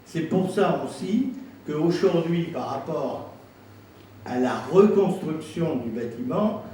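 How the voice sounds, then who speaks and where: The voice reaches a distant microphone in a very echoey room with faint noise. It is a man with a slightly high pitch, answering at a council meeting. He explains his case insistently and deliberately, without a script. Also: lively intonation, warm tone. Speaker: president of an intercommunal council answering questions.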